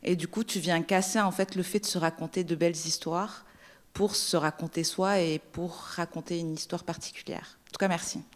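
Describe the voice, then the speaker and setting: Lower-voiced woman sharing heartfelt thoughts from the audience, pauses to find words. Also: steady tone. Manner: earnest, searching for words. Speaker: audience member. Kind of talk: spontaneous spoken comment. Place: library event room.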